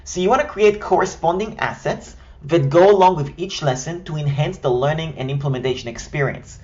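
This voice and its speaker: A man's voice: on the high side, brisk, a little mellow, highs cut off. Israeli-accented man teaching step by step. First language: Hebrew. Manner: structured, step-by-step, direct. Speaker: online course coach. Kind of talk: scripted tutorial.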